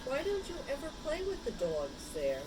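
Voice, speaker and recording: A woman voicing a kind male dog owner in a children's storybook, gently coaxing and reassuring his pet. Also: high voice, huge pitch swings, moderate pace, background noise, voice pushed up. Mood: encouraging.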